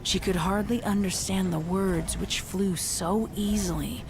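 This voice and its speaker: A woman's voice: medium pitch, steady tone, unhurried, background noise. A woman narrator reading a dramatic scripted story. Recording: loud music under the voice. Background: loud music.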